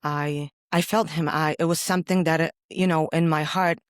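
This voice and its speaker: A woman with a deep voice, a podcast guest sharing her faith story in an earnest, halting way with many pauses. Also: fairly even intonation, no echo, studio quality. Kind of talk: personal faith testimony in conversation.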